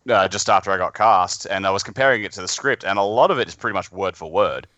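A man with a fairly low voice and a bright, forward tone chats informally. He talks haltingly, in loose conversational bursts. The sound is very dry. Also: no echo, lo-fi sound. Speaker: podcast guest and amateur voice actor. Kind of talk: casual chat.

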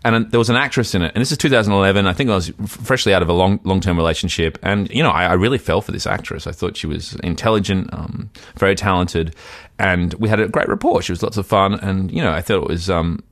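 A man's voice: low voice, quick pace, fairly bright tone, no room sound. A man co-hosting a casual comedy podcast, telling a rambling, self-deprecating story with lots of restarts. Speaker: podcast co-host.

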